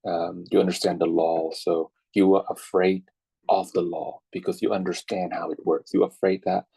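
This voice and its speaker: A young Thai man gives a relaxed online lecture, his low voice gravelly and husky. He talks in a loose, friendly way, stacking fillers and asking "right?" after his points. The sound is dry and close, as on a computer mic. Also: normal speed, no echo, rough recording. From Thailand. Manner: chatty, filler-heavy, checks in with "right?" and "okay?". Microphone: computer mic on video call.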